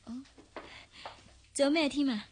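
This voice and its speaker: A woman's voice: high-pitched, a little slow, a little hiss or hum. A woman acting in a Cantonese radio drama with a Hong Kong accent, playing a young, choosy, sweetly teasing office worker. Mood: polite.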